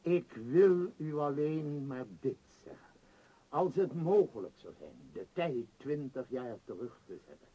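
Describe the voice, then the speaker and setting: An actor playing a powerful middle-aged tycoon in a radio play, bitter and declamatory, longing for lost freedom. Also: male voice, on the high side, animated, measured pace, dark tone, husky, muffled recording. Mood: agitated, yearning. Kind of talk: theatrical radio play acting.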